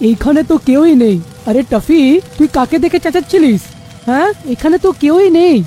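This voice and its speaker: A man's voice: extremely high pitch, animated, fairly quick, mellow, soft background music. A man voicing a village husband and father in a cartoon, exclamatory and easily alarmed. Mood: reassuring. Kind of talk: cartoon voice acting.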